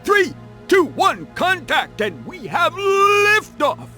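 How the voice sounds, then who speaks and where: Drunken space pilot, wildly swooping. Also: male voice, very high-pitched, huge pitch swings, slow, bright, forceful tone, exaggerated voice. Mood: boozy, jovial. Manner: slurred, theatrical, exclamatory. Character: drunken, boisterous ex-military space pilot, leering and rambling. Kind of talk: video game voice acting. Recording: music behind the voice.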